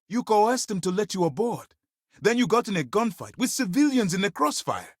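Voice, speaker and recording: An actor playing a stern, principled space navy commander in a video game, speaking in a formal, deliberate, authoritative way. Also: male voice, lowish voice, no echo, studio quality. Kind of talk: scripted dramatic game dialogue.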